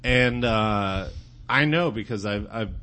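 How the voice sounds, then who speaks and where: A man from the American South chatting casually as a podcast guest, laughing often as he tells stories. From Arkansas, US South. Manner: laughing, drawn-out storytelling with lively pitch. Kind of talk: casual chat.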